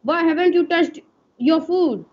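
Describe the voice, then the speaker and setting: Young princess, kind and eager, played by an amateur, very high voice, careful reading, dull video-call sound. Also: measured pace. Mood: concerned. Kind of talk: amateur online radio skit, read from script.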